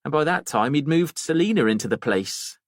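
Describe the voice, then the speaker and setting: A man's voice: on the high side, lively intonation, fairly quick, dry recording, studio quality, pitched above the performer's own voice. A man voicing a talkative, rambling working-class woman gossiping in an audiobook. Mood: fond, gossipy. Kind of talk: audiobook character voice.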